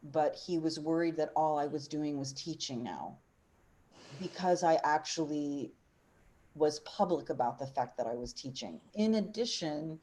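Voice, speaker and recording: A woman in her forties speaks in a low voice with earnest, emphatic passion. She talks as a panelist over a video call. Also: animated, measured pace, mellow. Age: 40s. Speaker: opera singer and voice teacher on a panel. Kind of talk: panel discussion.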